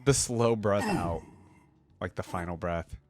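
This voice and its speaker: Lower-pitched man, casual reaction commentary. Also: expressive, unhurried, soft background music. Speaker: reaction video host.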